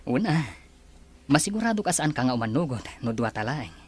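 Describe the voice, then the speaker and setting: A man's voice: mid-range voice, big pitch swings, brisk, husky. An actor playing a confident, courteous businessman courting a woman in a radio drama, earnest and assured. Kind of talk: Ilocano radio drama acting.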